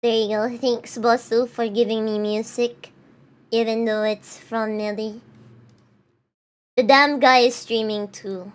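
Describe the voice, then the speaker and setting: A woman's voice: on the high side, steady tone, a little slow. A young woman streamer chatting casually with her viewers, answering chat with a chatty, self-mocking manner. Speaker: VTuber streamer. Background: faint background music.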